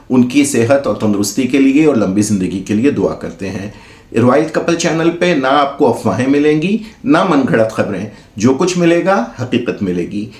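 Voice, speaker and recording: A man's voice: medium pitch, a little mellow. Earnest male host in an echoey room. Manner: earnest, formal address to viewers. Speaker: channel host. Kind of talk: scripted channel introduction. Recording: roomy echo.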